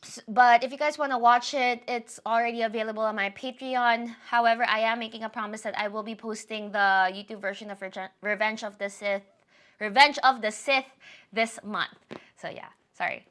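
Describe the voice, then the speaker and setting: Bright-voiced woman chatting to camera. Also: a little high, steady tone, normal speed. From Philippines. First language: Tagalog. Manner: chatty, apologetic, rambling with self-corrections. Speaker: YouTuber giving a channel update. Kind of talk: casual chat to camera.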